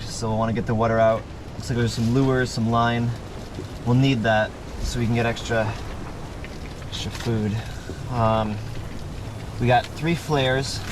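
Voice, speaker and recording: A man improvising in a homemade survival drama, talking in a practical, conversational and slightly hesitant way. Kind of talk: improvised survival role-play, plain conversational talk. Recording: loud boat engine and water noise. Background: boat, water and vehicle rumble.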